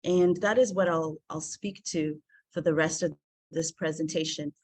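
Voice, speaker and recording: A woman from South Africa talks through a presentation on a video call. Her voice sits on the lower side and the sound is dry and close. She is earnest and warm, pausing often on filler ums. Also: steady tone, slightly rough, no echo, poor audio. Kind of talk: presentation to an online group.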